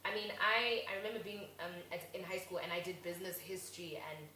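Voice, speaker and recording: A woman's voice: lowish voice, fast talker. Young South African woman, chatty show co-host, echoey room, faint hiss. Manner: lively, chatty, agreeable. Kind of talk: casual chat.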